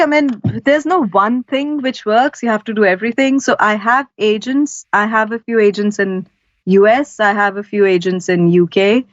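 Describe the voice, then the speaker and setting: A woman's voice: mid-range voice, no room sound. A woman from India, a voice-over artist, answering interview questions in an earnest, practical way. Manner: earnest, practical, gives advice step by step. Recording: slightly dull, lo-fi audio.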